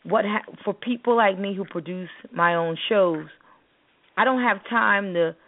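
A woman's voice: medium pitch, a little slow. A woman hosting a show, giving frank, unscripted advice in a panel talk over the telephone. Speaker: show host, poet and event producer. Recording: tinny phone-line call-in audio.

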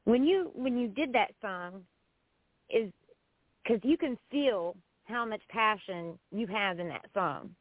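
A woman host asks long, winding questions, circling back and restarting as she goes. Her voice sits in a middle pitch and comes through thin and phone-like, with a dry, close sound. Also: lively intonation, slow, no room sound. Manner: chatty, rambling questions with restarts. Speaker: podcast host. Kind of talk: casual interview chat. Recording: tinny phone-line sound.

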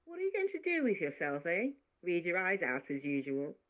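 A woman's voice: lowish voice, huge pitch swings, moderate pace, a bit bright. A woman voicing a good-natured, talkative maid who teases and scolds, in a dull lo-fi recording. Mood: teasing. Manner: bossy, teasing, talkative.

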